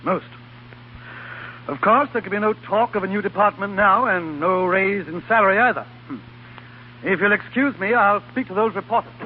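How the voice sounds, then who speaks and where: An actor playing a fussy, displeased institute director in an old-time radio drama. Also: male voice, high-pitched, normal speed, long pauses, close to an everyday voice. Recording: muffled, hissy old radio broadcast.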